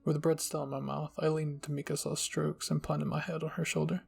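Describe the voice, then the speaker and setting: A man reads a first-person story as its narrator. His voice is husky with plenty of fry and sits a little high, with faint music behind him. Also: little pitch movement, fast. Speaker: channel host and story narrator.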